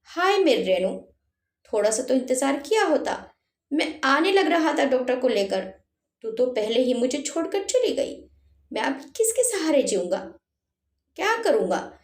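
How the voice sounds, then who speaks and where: A woman's voice: high-pitched, big pitch swings, a little slow, roomy sound. A woman voicing a heartbroken, reproachful old father in a story, lamenting and pleading. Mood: grief-stricken.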